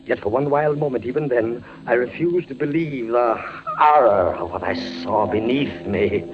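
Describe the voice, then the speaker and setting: An actor playing a tormented, terrified captive captain in a theatrical 1940s radio drama, with impassioned delivery. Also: male voice, mid-range voice, normal speed, close to an everyday voice. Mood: dread. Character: tormented male prisoner, a captain, desperate and terrified. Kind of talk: 1940s radio acting, dramatic first-person narration. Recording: muffled, noisy 1940s radio recording.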